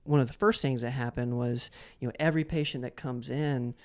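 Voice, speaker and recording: A man with a clear, smooth voice in a middling register hosts a casual interview. He talks in a halting, roundabout way, repeating words as he builds his questions. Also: mid-range voice, steady tone, darkish tone. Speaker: interview host.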